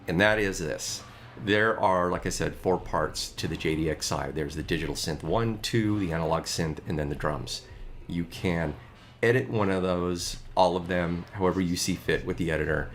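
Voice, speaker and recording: A man's voice: low voice, normal speed, a little hiss or hum. A middle-aged man talking casually about his music gear, chatty and a bit rambling.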